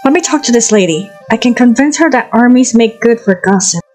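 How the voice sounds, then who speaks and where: Woman as a brash, high-voiced mercenary warrior. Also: lively intonation, a little fast, a little mellow, lightly acted. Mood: bold, persuasive. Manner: cheeky, bold. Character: brash, fun-loving young female mercenary warrior. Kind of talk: fan dub voice acting of a fantasy story game. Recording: music behind the voice.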